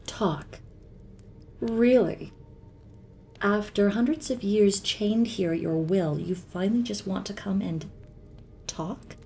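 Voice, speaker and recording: A woman's voice: slow pace, creaky, long pauses, a little mellow. A woman playing a sly, imprisoned moon goddess in an ASMR roleplay drama, with a composed, teasing, sardonic manner. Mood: mocking. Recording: faint noise, low rumble behind voice.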